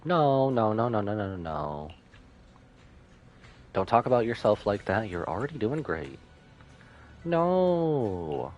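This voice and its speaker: A man's voice: lowish voice, big pitch swings, takes it slowly, pauses often, darkish tone, highs cut off. A man live-streaming a game, reading along and reacting playfully, often repeating phrases for emphasis. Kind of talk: live stream commentary with dialogue read-aloud. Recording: game music behind voice. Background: game music.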